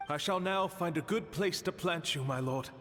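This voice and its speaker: An actor playing an obedient, mind-controlled human servant who speaks formally and deferentially in a game. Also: male voice, on the high side, normal speed, a bit bright, close to an everyday voice. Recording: long hall reverb with music.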